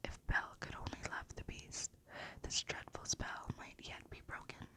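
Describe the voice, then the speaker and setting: A woman reading a children's storybook in a gentle, hushed ASMR style, dropping into whispers. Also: moderate pace. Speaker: ASMR reader.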